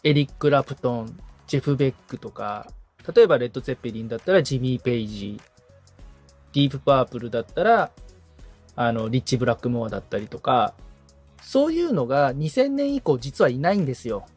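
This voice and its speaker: Male podcast co-host, conversational and enthusiastic explainer, mid-pitched voice that moves around a lot. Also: animated, fast talker, a little mellow, husky, soft background music. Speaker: podcast assistant, guitarist being coached.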